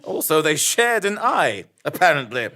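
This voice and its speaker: A woman's voice: low voice, sing-song, moderate pace, pushed and bright, heavily acted. A woman playing a bewildered amnesiac male investigative journalist in a comic audio drama, theatrical and mock-serious. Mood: mock-dramatic. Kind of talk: comic audio drama, scripted narration and dialogue.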